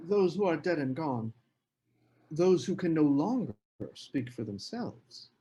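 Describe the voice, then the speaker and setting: A man reading a charming, evasive young author at a table read, courteous and smooth. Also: fairly high pitch, animated, a little slow, dark tone.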